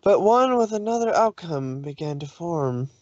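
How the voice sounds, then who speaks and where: A man's voice: fairly high pitch, lively intonation, normal speed, a little mellow, no echo, highs cut off. A man narrating fan fiction in a dramatic storytelling way, sometimes stumbling over his words.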